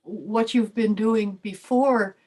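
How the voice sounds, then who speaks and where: A woman's voice: mid-range voice, fairly even intonation, slow, darkish tone. Woman chiming in on a video call. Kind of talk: informal discussion.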